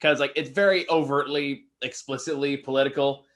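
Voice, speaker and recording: A man's voice: medium pitch, steady tone, fairly quick, fairly bright tone. Opinionated man in casual review chat. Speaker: film reviewer and podcast co-host.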